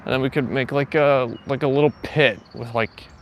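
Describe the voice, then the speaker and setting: A man's voice: mid-range voice, steady tone, moderate pace, slight background noise. A man hosting a live morning walk stream, chatting casually and rambling with lots of fillers as he answers his chat. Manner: rambling, filler-heavy, reads chat aloud. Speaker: livestream host. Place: outdoors, walking.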